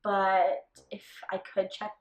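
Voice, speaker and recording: A young woman from Texas talks through her answers slowly and thoughtfully, pausing often on "um". The room around her is noticeably echoey. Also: medium pitch, level tone. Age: young adult. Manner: thoughtful, many "um" pauses. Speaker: interviewee, college junior. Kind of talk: casual documentary interview. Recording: roomy echo.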